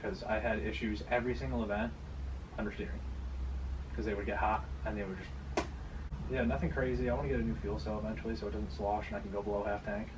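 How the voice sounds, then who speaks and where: A man's voice: fairly low pitch, fairly even intonation, fast talker, recorded from a distance. Offhand, sweary man, noisy recording. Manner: offhand, slangy, swearing, rambling. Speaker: car owner and vlogger. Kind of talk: casual chat about his drift car. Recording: clearly noisy location recording.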